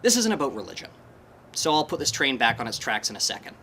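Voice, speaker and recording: A man giving a live talk to an audience, animated and wry, with a self-deprecating humor. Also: medium pitch, fast, slight background noise. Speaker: presenter at a skeptics' meetup. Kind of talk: conference talk. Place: presentation room, live audience.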